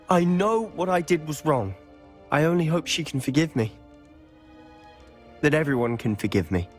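A man's voice: medium pitch, natural voice. A young man with a British accent playing a guilt-stricken, pleading teenage wizard student in a dramatic video game. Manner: agitated, pleading.

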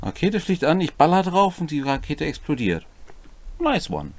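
A man in his forties walks through game rules in a casual, playful way. His voice sits a bit high and swings widely in pitch, recorded close and dry in a small room. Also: big pitch swings, normal speed, no echo. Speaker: co-host explaining game rules. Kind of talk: casual rules walkthrough with joking asides. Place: small home room, indoors.

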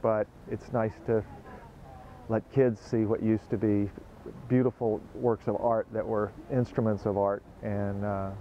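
Fifties man, glass artist, low monotone, halting and reflective, muffled outdoor tent audio. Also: slight background noise. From North Carolina via Alaska. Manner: thoughtful, halting, with frequent fillers and restarts. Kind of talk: reflective booth interview. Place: outdoor festival tent booth.

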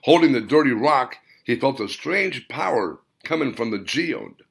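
A man reading his own novel as a dramatic audiobook, in deliberate, theatrical short phrases. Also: mid-range voice, expressive, gravelly, a bit bright, husky.

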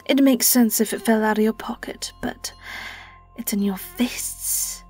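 A woman playing a sly, vengeful vampire in an audio roleplay, with a British accent, a breathy, often whispered voice and a taunting, theatrical delivery. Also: fairly high pitch, fairly even intonation, measured pace, darkish tone, faint music behind, lightly acted. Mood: gloating, menacing. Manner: taunting, theatrical, lingering on words. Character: vengeful young female vampire, sly, gloating and predatory. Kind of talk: dramatic audio roleplay monologue.

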